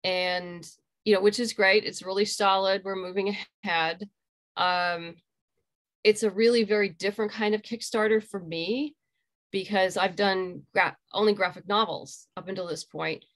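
A woman's voice: medium pitch, fairly even intonation, moderate pace, a bit bright. A middle-aged woman speaking as an interview guest, explaining things conversationally over a video call from a room at home. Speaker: interview guest, graphic novel creator. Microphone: video call computer microphone.

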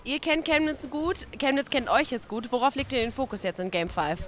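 A woman's voice: high voice, expressive, fairly quick, a bit bright. A young woman holding a handheld mic, upbeat and friendly as she interviews players and the coach after a game in an indoor arena. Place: indoor arena, in front of a sponsor wall.

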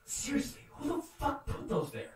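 A man's voice: extremely high pitch, huge pitch swings, moderate pace, over music, exaggerated voice. A man playing an exasperated news anchor off-script in a game cartoon, griping casually and crudely. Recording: distant, very echoey, faint noise.

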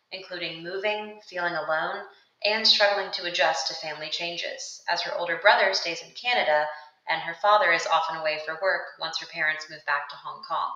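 A woman's voice: fairly low pitch, echoey room, highs cut off. A young adult woman giving a scripted, earnest voice-over that recommends books for a classroom library. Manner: steady, earnest read-aloud delivery. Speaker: student presenting book recommendations. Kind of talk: scripted narration of a video ad. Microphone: voice-over over still book pages.

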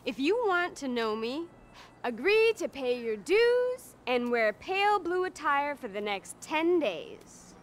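High-pitched zealous cult recruiter woman. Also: sing-song, takes it slowly, full character voice. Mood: preachy, condescending. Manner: preachy, earnest, emphatic. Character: zealous young female cult recruiter, airy and condescending. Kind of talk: video game cutscene acting.

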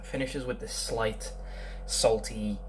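A man's voice: fairly low pitch, fairly even intonation, slow, a little hiss or hum. A young man casually reviewing a beer at home, rambling with lots of ums. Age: young adult. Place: small room indoors.

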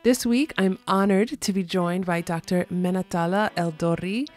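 A woman hosts an interview podcast with a slightly low voice and plenty of eager enthusiasm. She moves from a read introduction into easy, lively conversation, with faint music sometimes under her. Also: moderate pace. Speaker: podcast host. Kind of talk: scripted intro then casual interview.

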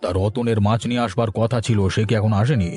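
A man plays a henpecked village husband in a cartoon. The voice is mellow, weary and reluctant, always placating, over faint music. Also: on the low side, fairly even intonation, fairly quick, natural voice. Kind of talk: cartoon voice acting.

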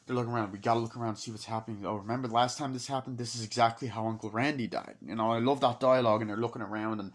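A man in his twenties giving a rambling, informal, sweary movie review, excitable and breaking into acted-out snatches of dialogue. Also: medium pitch, very fast, hardly any pauses. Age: 20s. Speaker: amateur film reviewer. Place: small wood-panelled room indoors. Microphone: laptop microphone at close range.

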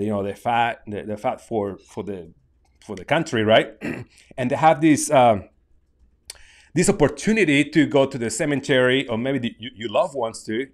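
A man in his thirties from Mexico with a Mexican Spanish accent, talking casually to camera in a small home studio, halting with many restarts. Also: mid-range voice. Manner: halting, many restarts and fillers, gestures with hands. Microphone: large studio mic on desk.

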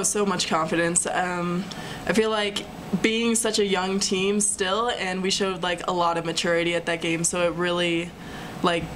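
A woman's voice: fairly low pitch, a bit bright, slight background noise, low-quality recording. A young woman, a college basketball player, answering press questions casually with lots of "like", at a desk mic in a press room. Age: young adult. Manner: casual, heavy use of "like". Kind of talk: post-game press conference answers. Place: press room in front of a sponsor backdrop. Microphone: desk microphone.

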